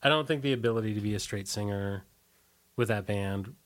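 A man being interviewed in a casual, rambling way, halting and often restarting his sentences. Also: lowish voice. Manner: rambling, halting, many false starts. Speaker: interview guest, punk band singer. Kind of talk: casual conversational interview, recollecting.

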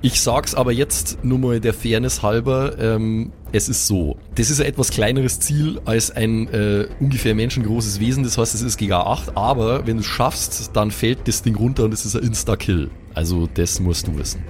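A man's voice: on the low side, normal speed, hardly any pauses, darkish tone, soft background music. A man acting as game master, improvising vivid narration with colloquial asides.

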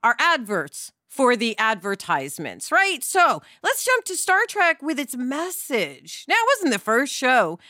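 A woman's voice: high-pitched, huge pitch swings, unhurried, hardly any pauses, sharp tone, dry recording, clean studio sound. A woman hosting a podcast, talking in a casual, emphatic, conversational way and often checking in with the listener. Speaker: podcast host; television actress.

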